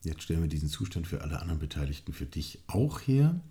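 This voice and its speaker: A man hosting a casual conversational podcast, playful and lively, sitting across from his guest at a studio mic. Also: rumbling low, fast. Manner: playful, lively, toys with his own phrasing. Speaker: podcast host, futurist.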